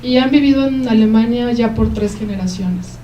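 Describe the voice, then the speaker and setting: A woman with a Mexican Spanish accent giving a university lecture, explaining at length and pausing often with "eh" and "em" as she answers questions. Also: fairly high pitch, warm tone. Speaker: guest lecturer. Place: lecture hall. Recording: roomy hall, mic at a distance, faint noise.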